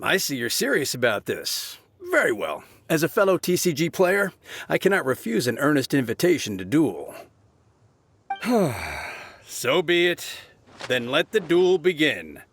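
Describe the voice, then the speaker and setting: A man's voice: fairly high pitch, sing-song, a little slow, fairly bright tone, husky, heavily acted. A man voicing a courteous, dutiful guardsman in a video game, polite and earnest. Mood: polite, reluctant. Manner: polite, formal.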